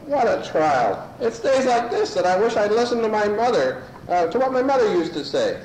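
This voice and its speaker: A man's voice: high voice, very animated, normal speed, a little mellow. A man playing a cranky, scatterbrained judge with theatrical delivery in an amateur radio comedy. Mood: weary. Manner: theatrical, wandering off mid-ruling. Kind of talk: amateur old-time radio comedy acting. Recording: echoey room, faint noisy amateur tape.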